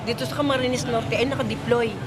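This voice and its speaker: A woman giving a spontaneous interview account, halting as she searches for words. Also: fairly high pitch, steady tone. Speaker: human rights activist interviewee. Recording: very loud street and vehicle noise.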